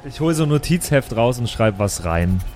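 A man's voice: mid-range voice, sing-song, normal speed, a little mellow, soft background music. A man playing in an improvised audio role-play, speaking in a dry, slightly hesitant way. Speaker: player in a role-play show.

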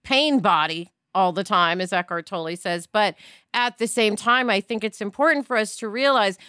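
A woman's voice: mid-range voice, normal speed, sharp tone, clean tone, dry recording, studio quality. A woman talking expressively in a casual panel discussion, often acting out quotes as she goes. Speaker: podcast panelist.

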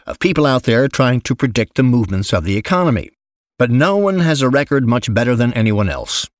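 A man reading an essay aloud as scripted narration, measured and articulate, with emphatic phrasing. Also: medium pitch, dry recording, studio quality. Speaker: narrator reading an investment memo.

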